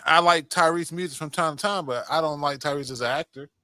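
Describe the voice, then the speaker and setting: A man's voice: a little high, quick pace, sharp tone, clear, resonant tone, dry recording, studio quality. A man co-hosting a casual podcast about movies, talking in an informal, slangy way and often repeating his phrases. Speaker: podcast co-host. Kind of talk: casual movie discussion chat.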